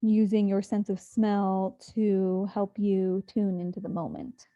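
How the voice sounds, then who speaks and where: A woman leading a guided sleep meditation, speaking slowly and soothingly with long pauses. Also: medium pitch, little pitch movement, dull audio.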